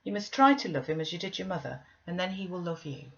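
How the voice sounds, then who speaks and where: Casting: woman to read a patient, persuasive female servant for a storybook, lowish voice, slightly muffled recording. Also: fast talker, close to an everyday voice. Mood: coaxing, reassuring. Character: coaxing, reassuring woman servant soothing a child. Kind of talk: audiobook character reading.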